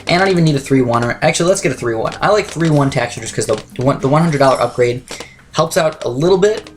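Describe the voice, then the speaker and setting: A young man with a slightly raspy voice, talking quickly through game strategy as a let's play commentator. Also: fairly high pitch, animated, faint music behind. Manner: fast, chatty, self-correcting strategy talk. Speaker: gameplay commentator.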